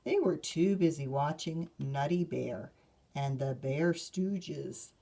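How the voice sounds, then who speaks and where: A woman reading a picture book aloud to children as a librarian, expressive and measured, with asides to listeners. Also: deep voice, gravelly. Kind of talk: children's storybook read-aloud.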